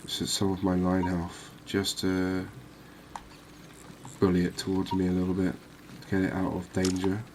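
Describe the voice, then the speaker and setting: Low, even-voiced man doing ASMR commentary. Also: slight background noise. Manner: calm, unhurried, musing aloud. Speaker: gaming video host. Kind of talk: soft-spoken ASMR gameplay commentary.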